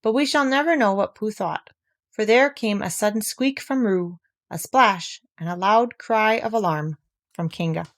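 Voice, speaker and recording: A woman reading a children's storybook aloud, telling it gently and with life. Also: medium pitch, moderate pace. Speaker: narrator reading a children's book.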